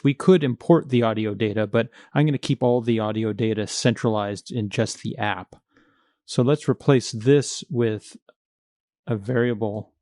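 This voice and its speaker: A man's voice: mid-range voice, a little mellow, no room sound, studio quality. A man teaching coding in a screencast, explaining each step in a methodical, plain-spoken way. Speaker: coding tutorial instructor.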